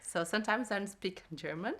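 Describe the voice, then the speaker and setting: Laughing Brazilian woman, bright voice. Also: mid-range voice, brisk. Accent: Brazilian Portuguese. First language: Portuguese. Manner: laughs often, animated storytelling in broken English. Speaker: podcast guest. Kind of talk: casual chat.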